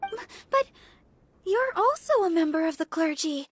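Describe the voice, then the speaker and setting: A woman voicing a timid, gentle and anxious young church girl in a video game, hesitant in her speech. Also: very high-pitched, sing-song, normal speed. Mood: anxious protest. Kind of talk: video game dramatic acting.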